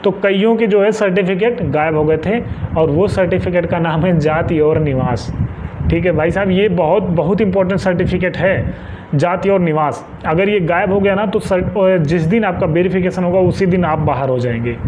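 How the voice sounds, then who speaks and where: A man's voice: high-pitched, moderate pace. A man from northern India giving informal advice to viewers as an exam guidance presenter, insistently repeating key points for emphasis. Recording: muffled lo-fi with faint background noise.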